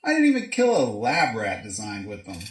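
An actor playing a gloating, foul-mouthed scientist in an audio drama, theatrical, mocking and wordy. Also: male voice, fairly low pitch, wildly swooping pitch, a little fast, roomy sound. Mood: smug, gloating. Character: gloating, foul-mouthed mad scientist doctor, gadget-proud blackmailer. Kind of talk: modern audio drama acting.

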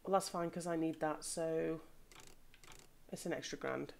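A woman with a slightly croaky voice from hay fever, chatting casually and thinking aloud as she plays. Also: sits low, fairly even intonation, unhurried, a little mellow. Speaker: gaming channel host. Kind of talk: casual gameplay commentary. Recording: audible background noise.

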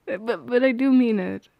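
Young female, acted nervous sweetheart for intimate ASMR roleplay: high, hushed, very slow and halting delivery. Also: no echo, dull audio. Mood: shy, tender.

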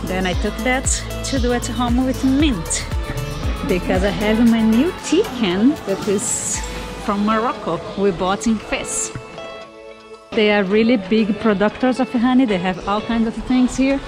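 Slow-talking woman vlogger over music. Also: fairly high pitch. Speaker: travel vlogger. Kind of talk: casual vlog chat. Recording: music behind the voice.

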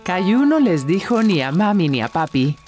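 A woman's voice: lowish voice, very animated, normal speed, music in the background. A woman narrating a children's cartoon as a kindly storybook narrator. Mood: storytelling.

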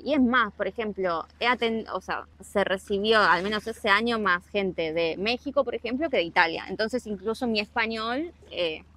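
A woman's voice: medium pitch, bright, forceful tone. A young Argentine woman with a Rioplatense accent, chatting casually to camera outdoors about working abroad, explaining with many asides. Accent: Rioplatense Spanish. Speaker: travel vlogger. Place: outdoors on rocks by the sea, then a harbor.

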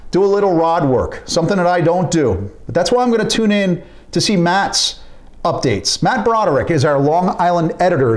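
A chatty, self-deprecating man in his fifties hosts a casual fishing report from his home office. His voice sits a little high for a man, and he throws in quick asides. It sounds like it was recorded on a desk webcam.

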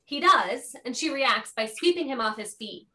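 A woman's voice: a little high, lively intonation, moderate pace, sharp tone. A woman narrator reading stage directions as scripted narration.

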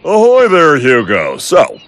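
An actor playing a vain, goofy adventurer pilot in a boastful, swaggering, bombastic way for a game cutscene. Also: male voice, fairly high pitch, huge pitch swings, slow pace, no room sound, exaggerated voice. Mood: jovial, bluffing. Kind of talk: animated game cutscene acting. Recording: loud music behind.

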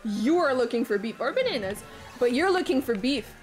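A young woman with a French Canadian accent, French her first language, talks to her stream in a fairly high, excitable voice. She swears freely, hums little tunes and bursts into exclamations, with faint game music playing underneath. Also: a little fast. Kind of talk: casual live-stream chat while gaming.

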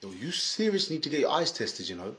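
A man in his thirties playing a chummy, cheeky servant in a comic pantomime, casual and matey with deadpan wonder. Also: medium pitch, quick pace. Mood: encouraging. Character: Buttons, chummy young male servant, cheeky sidekick. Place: small plain room, indoors. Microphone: video call.

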